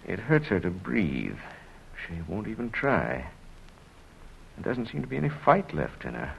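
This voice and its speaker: A grave hospital doctor in a vintage drama. His low, gravelly voice is hesitant and gently matter-of-fact, with expressive pitch movement. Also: measured pace, highs cut off, a little hiss or hum, full character voice. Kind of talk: old radio drama acting.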